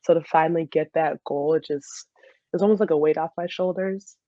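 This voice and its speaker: A woman's voice: sits low, steady tone, fairly quick, mellow, no room sound, dull audio. A young woman with an American accent, telling anecdotes casually as an interview guest and often giggling as she talks. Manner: giggly, laughs often while talking. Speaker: interview guest, former college soccer player.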